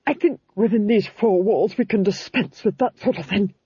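A woman's voice: medium pitch, normal speed, warm tone, breathy edge, no echo. A woman voicing a haughty, cruel Duchess, dull recording. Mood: irritated. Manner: imperious, clipped commands. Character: haughty, cruel Duchess, menacing and coolly impatient. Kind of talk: audiobook character voice.

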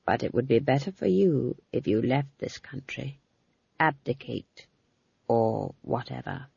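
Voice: mid-range voice, expressive, a little slow, no echo, dull audio. A narrator voicing a blunt, stern old witch woman with a dry, commanding manner. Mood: quietly stern.

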